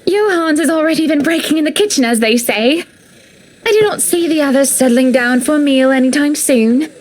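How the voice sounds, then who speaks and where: Woman acting a very high-pitched, refined lady of the house. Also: brisk, natural voice. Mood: gracious, inviting. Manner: genteel, formal, gently commanding. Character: refined, insistent married lady of a grand house. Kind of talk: visual novel voice acting.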